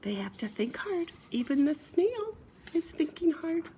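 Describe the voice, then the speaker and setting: A woman's voice: high-pitched, very animated, a little slow, warm tone, a little hiss or hum. A woman reading a children's picture book aloud in a playful, sing-song way, with chatty asides. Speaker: storyteller.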